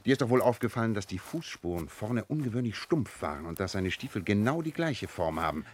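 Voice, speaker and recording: A shrewd, theatrical detective in a radio play. His lowish voice moves in lively, wide swings, confident and teasing, with playful irony. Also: brisk, slight background noise. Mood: confiding, amused. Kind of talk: German radio play acting.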